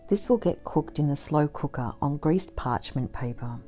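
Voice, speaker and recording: A middle-aged woman giving a calm, measured voice-over about her homestead, with soft background music. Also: low-pitched. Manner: gentle, measured and matter-of-fact. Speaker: homesteading vlogger. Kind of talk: calm scripted voice-over narration. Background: faint instrumental music.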